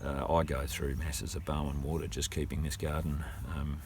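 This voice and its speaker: A man's voice: very deep voice, little pitch movement, normal speed, darkish tone, slight background noise. An older man with an Australian accent, explaining things casually and hesitantly as a homeowner, thinking aloud as he goes. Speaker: homeowner and gardener showing his water tanks.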